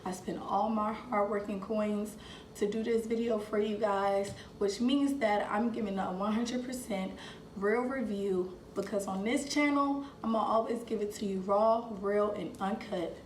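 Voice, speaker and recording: A woman's voice: mid-range voice, steady tone, measured pace, a little hiss or hum. A young woman hosting a casual unboxing review, chatty and talking to viewers as "you guys", getting over a cold. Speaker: vlogger host. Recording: echoey room.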